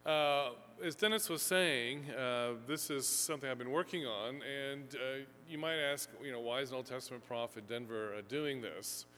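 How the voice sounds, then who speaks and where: A man's voice: mid-range voice, moderate pace, hardly any pauses, bright, forceful tone. Man lecturing from a lectern in an echoey hall. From Houston, Texas, USA. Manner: rambling, filler-heavy, self-deprecating. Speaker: seminary professor giving a talk. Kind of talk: lecture to a live audience. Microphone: fixed lectern mic. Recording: echoey hall with faint hum.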